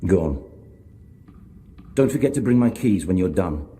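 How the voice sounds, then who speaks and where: Calculating overseer, very low voice, lively intonation, commanding, quietly threatening, video game villain. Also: male voice, background noise. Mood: brisk, instructing. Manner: measured, instructing, quietly threatening. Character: cold, calculating male overseer, menacing and commanding. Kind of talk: video game character acting.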